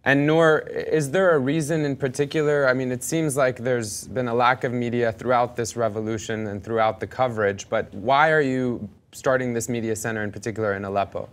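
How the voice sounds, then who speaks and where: A man's voice: medium pitch, fairly even intonation, brisk, few pauses. A man hosting a live web interview, asking probing questions and rephrasing them as he goes. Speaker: host.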